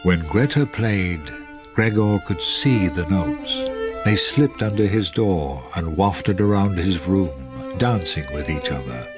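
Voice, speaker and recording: A man's voice: sits low, animated, slow. A man narrating a radio drama in a scripted storytelling style. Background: music with violin.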